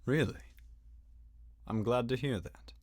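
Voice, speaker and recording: A man's voice: medium pitch, slow, a little mellow, dry recording. A man playing an earnest, dutiful young knight in a game fan dub, speaking in a serious, slightly formal manner. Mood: hopeful. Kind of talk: acted game dialogue.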